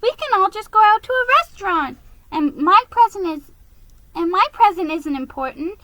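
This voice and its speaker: Little storybook mouse nephew, high and bright, sweet-natured and earnest voiced by a woman. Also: animated, normal speed, no echo, exaggerated voice, poor audio. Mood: consoling. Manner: earnest, consoling. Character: kind young boy mouse, comforting nephew.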